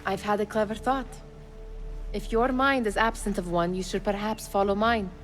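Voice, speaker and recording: A woman's voice: medium pitch, steady tone, moderate pace, faint music behind, close to an everyday voice. An actress playing a cautious, teasing young female thief in a game cutscene, with a dry, chiding manner. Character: sharp-witted young woman, cautious, teasing companion thief. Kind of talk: video game cutscene acting.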